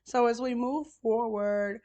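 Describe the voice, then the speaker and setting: A middle-aged woman recapping a reality show in casual chat, hoarse from losing her voice, talking straight to the contestants. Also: fairly high pitch, fairly even intonation, a little slow, mellow, muffled recording. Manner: chatty, talks straight to contestants. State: hoarse, lost voice.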